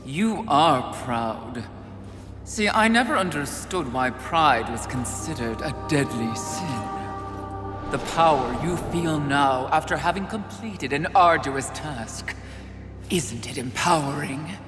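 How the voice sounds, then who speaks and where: A man's voice: fairly high pitch, expressive, slow, fairly bright tone. A man playing an arrogant, seductive supernatural villain in a game, grandiose and persuasive. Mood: proud, tempting. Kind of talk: dramatic video game cutscene acting. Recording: echoey hall reverb. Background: music.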